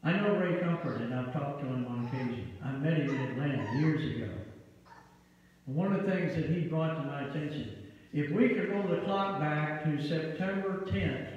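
A middle-aged pastor with a Midwestern American accent, preaching a sermon with emphatic delivery in a church sanctuary, using a handheld mic. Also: male voice, a little high, normal speed. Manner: emphatic preaching with wide gestures, rising to loud peaks. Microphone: handheld mic, far camera. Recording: echoey hall, distant and dull.

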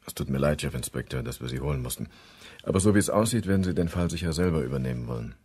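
A man's voice: very deep voice, lively intonation, rapid-fire, a little mellow, husky, full character voice. A man acting as a matter-of-fact local police inspector in a German radio crime drama, plain-spoken and businesslike.

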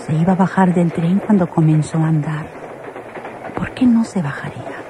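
A young Mexican señorita in an old radio serial. Her voice sits a little low, polite with an anxious edge. The old recording is clearly noisy. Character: young woman train passenger, polite but anxious. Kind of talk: Mexican radio soap opera acting.

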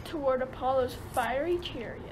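A woman's voice: sits high, normal speed, background noise. A woman giving scripted storytelling narration for a radio play.

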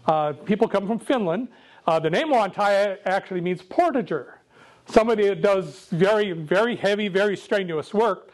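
An older man with a loud voice gives a casual, jokey talk to a club meeting, speaking without a microphone. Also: high-pitched, lively intonation, moderate pace, poor audio. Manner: jokey, self-deprecating, chatty with laughs. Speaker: guest speaker.

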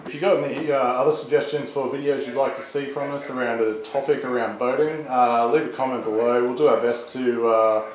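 A man's voice: medium pitch, steady tone, fairly quick, few pauses. An Australian man in his thirties giving an informal, plain-spoken instructional presentation, explaining things step by step. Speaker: volunteer coast guard instructor presenting a video. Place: boat on water, open garage, radio room. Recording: roomy echo, clear ambient noise.

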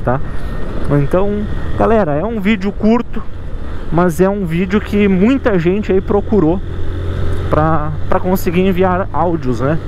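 A man's voice: high-pitched, noisy background. A Brazilian man giving a casual, conversational tutorial to his viewers, often checking in with short tag questions. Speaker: motorcycle courier video host.

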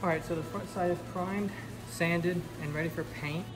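A man's voice: sits high, fairly even intonation, moderate pace. Young man explaining woodwork in echoey shop. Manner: plain, step-by-step, with fillers. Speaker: woodworker demonstrating. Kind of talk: casual how-to walkthrough. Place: large woodworking shop and spray booth, indoors and out. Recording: echoey shop with music behind.

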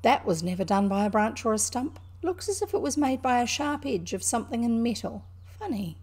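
A woman voicing an excitable male rat in a storybook, emphatic, kindly at heart but quickly exasperated. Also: fairly high pitch. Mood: kindly, attentive. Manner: emphatic, urgent. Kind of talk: animated storybook character reading.

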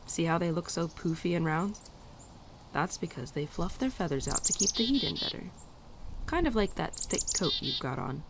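A woman playing a gentle, teasing winter spirit in a girlfriend ASMR roleplay, speaking softly and tenderly, often dropping to a near-whisper. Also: fairly low pitch, lively intonation, moderate pace, heavy background noise. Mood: tender, fond. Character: gentle, teasing female winter spirit, affectionate old friend. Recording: birdsong and outdoor ambience behind.

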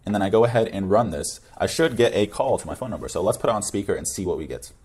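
A man's voice: lowish voice, lively intonation, fast, darkish tone, breathy edge. A young man presenting a coding tutorial in a casual screencast style. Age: young adult.

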